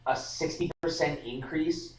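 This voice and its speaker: A man's voice: on the high side, steady tone, a little slow. Young man rambling in a roomy room. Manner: rambling, talks in restarts and fillers. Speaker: podcast host. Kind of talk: casual discussion. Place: room at a student society meeting. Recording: roomy live stream audio, distant mic.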